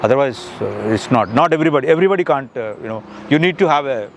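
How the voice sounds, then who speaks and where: A man's voice: mid-range voice, animated, fast, gravelly, a little hiss or hum. A man in his late fifties with an Indian accent, chatting casually as a customer in a review interview, rambling and repeating words for emphasis. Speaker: customer giving a product review. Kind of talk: casual unscripted interview chat.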